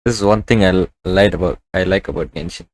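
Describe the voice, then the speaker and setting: A man's voice: low voice, steady tone, quick pace. A young man streaming a live reaction to a video game, blurting offhand remarks. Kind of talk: casual live reaction commentary.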